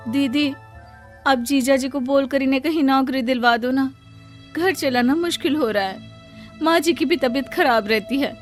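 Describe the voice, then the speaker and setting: A woman acts a long-suffering young wife in a cartoon moral tale. She pleads in a plaintive, high voice over soft music. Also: moderate pace, close to an everyday voice. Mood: pleading.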